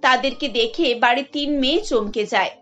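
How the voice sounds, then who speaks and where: A woman narrates a cartoon story in a steady, scripted way. Her voice sits high. Soft music plays underneath. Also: a little fast, dull audio.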